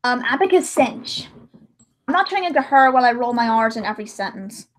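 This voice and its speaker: High-pitched woman chatting casually on a livestream. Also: animated, a little fast. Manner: rambling, theatrical, with sudden mock-dramatic outbursts. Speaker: livestream host and amateur voice-over editor. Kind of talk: casual livestream chat while editing.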